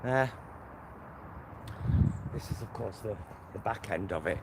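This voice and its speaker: A man's voice: on the low side, unhurried. A man with a Greater Manchester accent chatting casually in a rambling, self-interrupting way, as a vlogger out on a walk. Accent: Northern English, Greater Manchester. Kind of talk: casual walking vlog chat. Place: outdoors, walking by roads and motorway. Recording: outdoor recording with loud traffic noise. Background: loud traffic and outdoor ambience.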